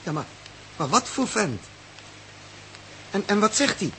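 An actor playing an earnest, probing spaceship captain in 1960s radio drama style, speaking in intense, halting, questioning phrases. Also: male voice, high voice, very animated, pushed and bright, slight background noise, heavily acted, rough recording. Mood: astonished.

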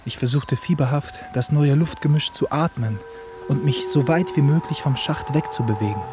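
Male actor as rebellious son, mid-range voice, dramatic storytelling, radio play, intense, loud music underneath. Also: mid-range voice, close to an everyday voice. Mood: strained, recounting. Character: Kuno, intense rebellious son recounting his escape. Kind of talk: German radio play acting, dramatic first-person narration.

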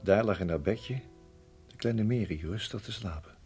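An actor in a radio play voicing a reflective, middle-aged married farmer who reminisces in a measured, halting way. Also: male voice, lowish voice, a little mellow, faint music behind, close to an everyday voice. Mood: sombre, halting. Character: weary, reflective married farmer recalling his years in Rhodesia.

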